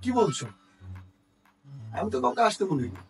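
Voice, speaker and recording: Irritable, bewildered husband, very animated. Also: male voice, fairly high pitch, normal speed. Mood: alarmed disbelief. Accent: Bangladeshi. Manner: exasperated, blunt, mixes in English. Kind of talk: naturalistic short-film drama acting. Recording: distant mic with background music.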